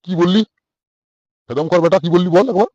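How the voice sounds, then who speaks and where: A man's voice: sits high, fairly quick, darkish tone, dry recording, highs cut off, natural voice. A man voicing an irritable, crude middle-aged village father in a comic cartoon, scolding bluntly with a West Bengal accent. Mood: impatient, angry.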